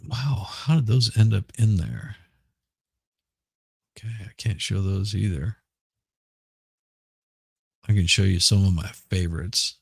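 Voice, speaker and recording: A middle-aged man chatting casually as a podcast host, hoarse and rambling, often repeating words and restarting. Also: sits low, lively intonation, takes it slowly, long pauses, no echo, studio quality. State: hoarse from smoke. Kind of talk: casual livestream chat.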